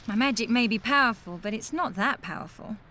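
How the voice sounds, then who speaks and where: High-voiced sassy young mage. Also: female voice, lively intonation, fairly quick, bright, forceful tone, slight background noise. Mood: sassy, casual. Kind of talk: video game cutscene voice acting.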